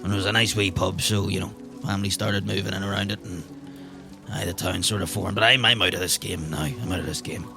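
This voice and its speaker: A man playing a grumpy, evasive old man at a pub, halting and defensive, with an or lilt, in improvised tabletop roleplay. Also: sits low, steady tone, creaky, music in the background. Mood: wistful, weary. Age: older. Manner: halting, defensive, repeats himself.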